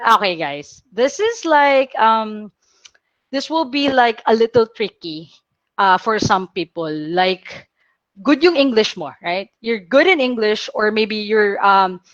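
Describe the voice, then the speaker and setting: A young Filipina with a Bicol accent talks casually in a webinar and hops between English and Tagalog mid-sentence. Her voice sits at a middle pitch. It sounds close and dry, with the muffled edge of a computer mic. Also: dry recording. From Philippines, Bicol region. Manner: chatty, switches languages mid-sentence, many fillers. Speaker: webinar speaker, company operations officer. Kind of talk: casual online talk, English mixed with Tagalog.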